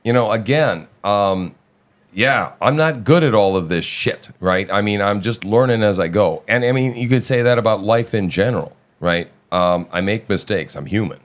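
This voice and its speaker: A man with a fairly low voice hosts a live audio talk show. He speaks casually and emphatically, swears freely, and keeps checking in with his listeners. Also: expressive, moderate pace.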